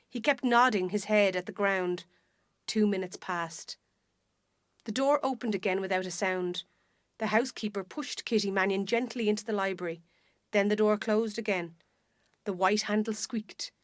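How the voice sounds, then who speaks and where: An Irish woman narrates a dramatic short story. She tells it in a measured, descriptive manner, at a middling pitch, and the sound is dry and close. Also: no room sound.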